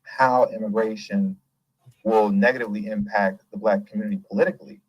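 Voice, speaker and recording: A man hosting a casual commentary show, talking in an animated, emphatic and rambling way and laughing often. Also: lowish voice, fairly quick, no room sound, low-quality recording. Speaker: host.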